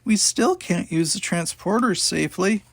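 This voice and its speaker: A man's voice: high-pitched, normal speed, creaky, fairly bright tone, voice pushed up, heavily acted. A man voicing an anxious, big-hearted young alien girl in a storybook reading. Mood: sad, worried.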